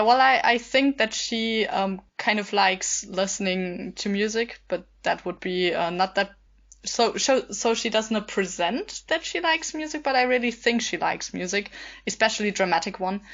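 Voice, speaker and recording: A woman's voice: mid-range voice, a bit bright. A woman podcast guest chatting casually in an interview, tentative and thinking aloud as she answers. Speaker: audio play cast member, podcast guest.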